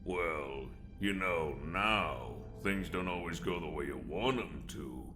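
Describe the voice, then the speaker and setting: An actor playing a menacing pirate thug who drawls out threats in an audio comic dub. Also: male voice, sits low, slow, pushed and bright, soft background music, heavily acted. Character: menacing, slow-talking male pirate thug.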